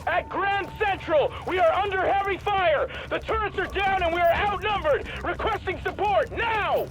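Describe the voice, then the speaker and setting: An urgent soldier in a video game, shouting battle reports. The voice is strained very high, over clear combat noise. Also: male voice, normal speed. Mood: desperate. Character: urgent male soldier shouting under fire. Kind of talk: dramatic video game acting. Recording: battle and vehicle noise behind voice.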